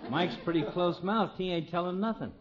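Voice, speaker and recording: Man as a brash wisecracking small-town guy, highish voice. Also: fairly quick, a crowd in the background, close to an everyday voice. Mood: sympathetic. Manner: folksy, slangy, ungrammatical. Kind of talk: 1950s radio comedy acting. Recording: muffled vintage 1950s radio recording.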